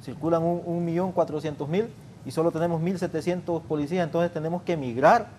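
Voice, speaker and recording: A man's voice: fairly high pitch, steady tone, brisk, a little mellow. A man on an interview panel explaining traffic enforcement in an earnest, explanatory way, often repeating words. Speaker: traffic official guest. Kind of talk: interview, arguing a point.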